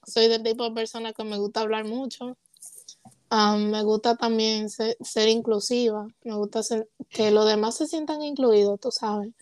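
A woman's voice: on the high side, moderate pace, no echo. Young woman, dry close sound. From Puerto Rico. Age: young adult. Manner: relaxed, hesitant, mixes English words into her Spanish. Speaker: guest. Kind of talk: casual conversation.

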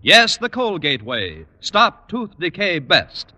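A man reading a commercial on old-time radio with a crisp, declarative sales-pitch delivery. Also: wildly swooping pitch, slow pace, pushed and bright. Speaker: commercial announcer. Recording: dull reverberant old radio.

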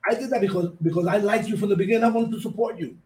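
A man's voice: high-pitched, rapid-fire, a little mellow. A man with a Puerto Rican accent hosting a casual radio talk show, effusive, repeating his phrases and mixing English with Spanish. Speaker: radio host. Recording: clear background noise.

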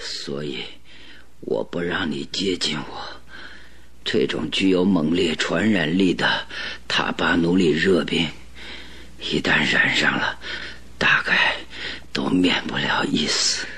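Radio drama casting: stubborn detective on his sickbed, extremely low hoarse voice, slow and gasping. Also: pushed and bright, heavily acted. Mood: weak, stubborn. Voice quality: hoarse, breathy. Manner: halting, feeble but stern. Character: gravely ill, stubborn male detective, weak and gasping.

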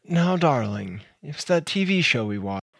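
An actor playing a calm husband waking his wife from a nightmare in an old radio drama, gentle and soothing. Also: medium pitch, measured pace, husky, no echo, clean studio sound, heavily acted. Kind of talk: 1950s radio drama acting.

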